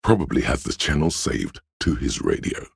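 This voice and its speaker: A man voicing an alert, streetwise inner voice of quick reflexes in a video game, narrating dramatically and knowingly to the listener, very deep, gravelly and husky. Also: very animated, no room sound, studio quality, full character voice. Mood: shrewd, probing. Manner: knowing, conspiratorial, addresses listener as you.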